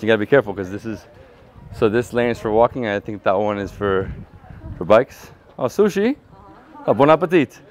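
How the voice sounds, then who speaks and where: A man in his thirties hosting a travel vlog, chatty and excited, slipping between languages as he walks outdoors with a lapel mic. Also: mid-range voice. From United States. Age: 30s. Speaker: travel vlogger host. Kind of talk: casual travel vlog chat. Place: outdoors on a bridge and city streets.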